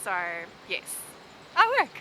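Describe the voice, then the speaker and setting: A woman's voice: slow pace, sharp tone, slight background noise, poor audio. A young woman with a South African accent, chatting cheerfully as she answers viewer questions for a vlog. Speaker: vlogger.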